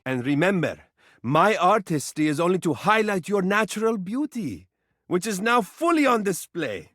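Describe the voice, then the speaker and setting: Vain, swooping, theatrical living mirror. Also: male voice, high-pitched, a bit bright, no echo, studio quality, full character voice. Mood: smug, affectionate. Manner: flowery, pompous, melodramatic, coaxing. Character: vain, fussy, dramatic living mirror, doting male lover. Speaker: video game voice actor. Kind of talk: theatrical character dialogue for a video game.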